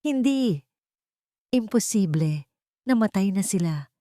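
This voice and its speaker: Romance-story heroine acted by a female reader: young mother, shaken yet firm, deliberate delivery, dry sound. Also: mid-range voice, expressive, mellow, dry recording. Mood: shaken, disbelieving.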